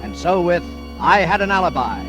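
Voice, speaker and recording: High-pitched ominous radio host, over music. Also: male voice, sing-song. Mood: ominous, formal. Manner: formal, dramatic, measured phrasing. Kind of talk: 1940s radio suspense introduction, scripted. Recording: vintage 1940s radio with music.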